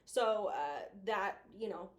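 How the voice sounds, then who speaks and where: A woman's voice: mid-range voice, slow pace, echoey room. A woman chatting casually as a video host giving commentary, playful and teasing, with the odd mild swear. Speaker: YouTube host reviewing analog horror.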